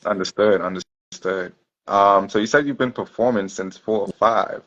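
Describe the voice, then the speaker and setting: A man's voice: lowish voice, normal speed, fairly bright tone. A male interview host, laid-back, asking questions in casual chat with many short agreements. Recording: dull, lo-fi sound.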